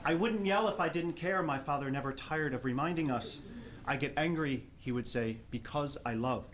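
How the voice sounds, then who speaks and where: A man's voice: a little high, normal speed, a crowd in the background. New Jersey man giving a formal speech. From Jersey City, New Jersey, USA. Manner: articulate, formal, wry. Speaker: professor of theology, respondent. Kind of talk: prepared speech read to an audience. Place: live public talk before an audience.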